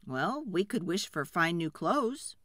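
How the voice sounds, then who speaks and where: A meek storybook woodcutter, acted out by a woman reader, very animated and bright. He is apologetic and pleading, stumbling a little over his words. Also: on the low side, takes it slowly, no echo, clean studio sound, full character voice. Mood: hopeful. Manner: hesitant, stammering, pleading. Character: meek, apologetic, hungry male woodcutter in a folk tale. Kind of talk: storybook character voice.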